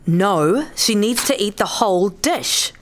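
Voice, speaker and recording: A woman's voice: mid-range voice, lively intonation, slow pace. A woman playing a stern mother who speaks in firm, terse, scolding phrases. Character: stern, curt wife and mother of two girls.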